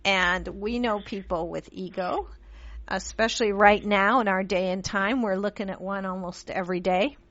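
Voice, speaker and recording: A woman born in Pakistan, raised in London and Montreal, hosting a radio interview with lively, laughing chat and frequent restarts. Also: mid-range voice, moderate pace, no room sound. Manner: laughs often, animated, many restarts. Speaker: radio show host. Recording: dull dry radio audio.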